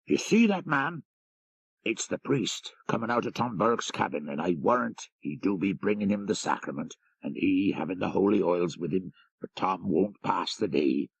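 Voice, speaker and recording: A man's voice: low voice, expressive, normal speed, vocal fry, breathy edge, dry recording, heavily acted, poor audio. A man voicing a deferential, folksy Irish country driver telling local tales in an audiobook. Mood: grave, matter-of-fact. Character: rural Irish jarvey driver, deferential, gossipy storyteller. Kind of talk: audiobook character reading.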